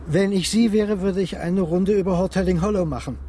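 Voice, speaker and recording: Actor as a bright-voiced, wary local man. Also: high voice, fairly quick, slight background noise, natural voice. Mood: guarded, conspiratorial. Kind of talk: radio drama acting.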